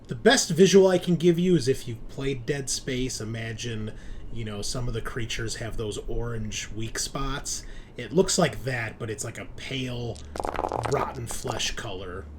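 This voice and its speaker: A man's voice: mid-range voice, measured pace, background noise. A man acting as game master, narrating a tabletop roleplaying game in a casual, descriptive way and explaining rules.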